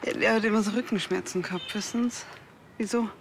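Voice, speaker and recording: An actress playing a plain-spoken, puzzled and defensive widow with a Bavarian accent, in naturalistic TV drama dialogue. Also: female voice, mid-range voice, fairly even intonation, fast talker, gravelly, noisy background, natural voice.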